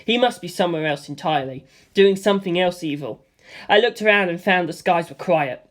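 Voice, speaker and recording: A reader giving a casual, lively first-person audiobook narration with offhand asides. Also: mid-range voice, a little fast.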